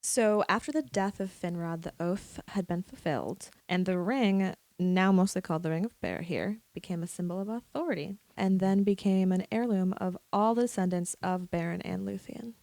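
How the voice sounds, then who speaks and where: A woman's voice: fairly low pitch, normal speed, few pauses, darkish tone, no echo. A woman on a podcast, explaining fantasy lore as if narrating a history. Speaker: podcast speaker.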